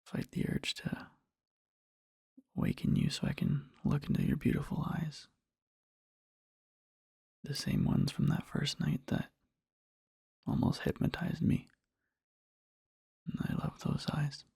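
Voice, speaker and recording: Guy doing a sleepy loving boyfriend asmr, soft mellow husky whisper, slow and halting, dry close mic. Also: a little high, completely flat delivery, no room sound, exaggerated voice. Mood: tender, adoring. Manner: slow, halting, rambling, tender. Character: devoted adoring sleepy boyfriend murmuring tenderly in bed. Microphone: close-up microphone, dry.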